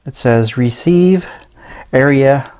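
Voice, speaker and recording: A middle-aged American man giving a casual, unscripted product walkthrough in a low-key, hesitant way. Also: takes it slowly, a little mellow. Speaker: gadget reviewer. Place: small indoor room at a tabletop. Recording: clear background noise with clicks.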